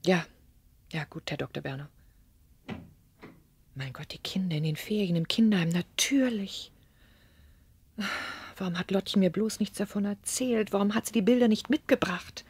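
Anxious, earnest young mother, radio play, breathy-rough mid-range voice. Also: mid-range voice, normal speed, pauses often, darkish tone. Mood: uneasy, hesitant. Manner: hesitant, earnest. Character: anxious, gentle young mother working in editing. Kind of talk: German radio play acting.

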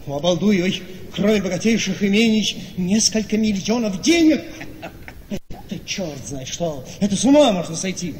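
An actor playing a calculating, self-satisfied handsome gentleman in a theatrical radio play. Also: sits high, lively intonation, moderate pace. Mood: gloating, impressed. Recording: noisy, reverberant hall-like radio play recording.

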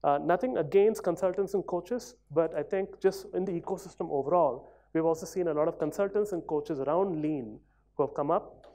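A man in his thirties speaks at a conference podium in a large hall. His voice is mellow and warm, and he talks in a loose, conversational way, often hedging with small fillers. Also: a little high, a little fast. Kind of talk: conference talk.

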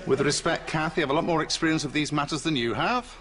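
A man's voice: fairly high pitch, fast, a bit bright, close to an everyday voice. A man playing a formal, self-important middle-aged psychiatrist in a television sitcom. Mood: polite, formal. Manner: pompous, formal. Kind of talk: sitcom acting.

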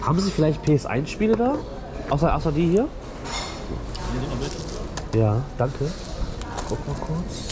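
Man chatting over loud outdoor noise. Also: a little high, very animated, a little slow, long pauses. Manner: polite, friendly. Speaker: flea market shopper filming a vlog. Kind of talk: casual haggling chat. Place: outdoor flea market. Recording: loud outdoor street ambience.

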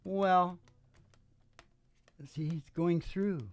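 Rambling middle-aged man, slightly high voice. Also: smooth. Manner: opinionated, digressive, halting. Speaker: host of a music and storytelling show. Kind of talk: rambling casual storytelling between songs. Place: small dim stage with curtains. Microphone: microphone on a stand.

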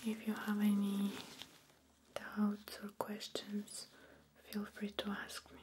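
A young woman in a soft-spoken ASMR medical role play, breathy and whispery, gentle and soothing, close to the microphone. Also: medium pitch, completely flat delivery, takes it slowly, background noise. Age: young adult. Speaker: ASMR performer role-playing a doctor. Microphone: close binaural microphone.

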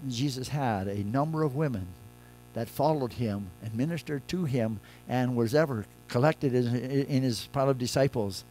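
An older man, a pastor, preaching a conversational sermon from a church pulpit, moving between loud emphatic lines and quiet soft ones. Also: mid-range voice, moderate pace, soft background music, low-quality recording.

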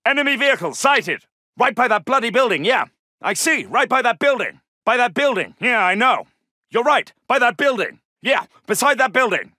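A man's voice: very high-pitched, big pitch swings, normal speed, pushed and bright, no echo, studio quality, heavily acted. A man voicing an alert soldier in a video game, giving clipped, urgent military callouts. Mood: brisk, confirming. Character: alert, gruff British male Second World War soldier. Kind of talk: shouted combat callouts.

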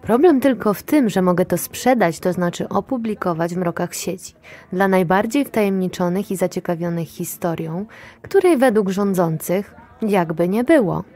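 A woman's voice: on the low side, lively intonation, moderate pace, warm tone. An actress playing a wary, cynical, sweary woman moonlighting in underground sex work, in a radio play. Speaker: actress in an audio drama.

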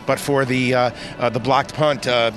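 A man's voice: mid-range voice, little pitch movement, moderate pace, over music. An older man analyzing the game on a postgame panel outdoors on the field, speaking thoughtfully with frequent restarts. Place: outdoors on a football field at night. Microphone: handheld mic.

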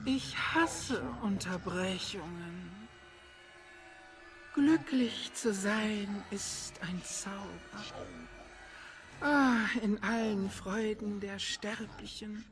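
A woman playing a seductive, possessive and self-assured female desire demon in a fantasy game, with silky, deliberate delivery. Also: a little high. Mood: haughty, calm. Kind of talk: dubbed fantasy game acting.

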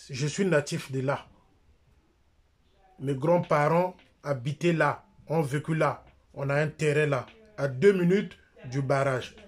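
A middle-aged man with a Congolese French accent, speaking straight to a close phone camera in a small room, insistent and repeating his points. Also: on the high side. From Republic of the Congo. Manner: insistent, repeats phrases, jabs with his finger. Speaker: church member and critic. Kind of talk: direct-to-camera denunciation.

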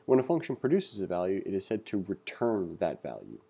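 A man reading aloud through a coding book and thinking out loud as he teaches, with a relaxed manner and frequent fillers. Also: sits low, a little fast, vocal fry, husky. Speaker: coding tutor. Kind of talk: casual read-along lesson.

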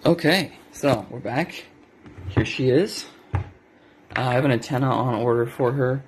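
A man's voice: medium pitch, a little slow, a little hiss or hum, rough recording. A man giving a casual, unscripted show-and-tell as a hobbyist, hesitant and correcting himself, recorded on a handheld cell phone indoors at a desk. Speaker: hobbyist video maker.

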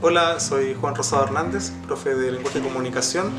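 A man's voice: medium pitch, brisk. A man in his thirties from Argentina, a language teacher, answering casually and repeating words as he searches for them. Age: 30s. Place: room with bookshelves. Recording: roomy sound with loud guitar music.

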